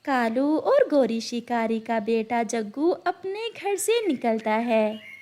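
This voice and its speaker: A woman's voice: on the high side, lively intonation, slow, darkish tone. A woman narrator reading a scripted children's story.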